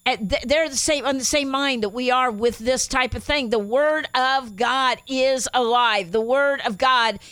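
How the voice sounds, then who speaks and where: A woman's voice: high voice, measured pace, hardly any pauses, fairly bright tone, clear, resonant tone, no room sound. Enthusiastic woman with a slight Spanish accent. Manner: enthusiastic, reads scripture aloud with emphasis. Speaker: radio show co-host.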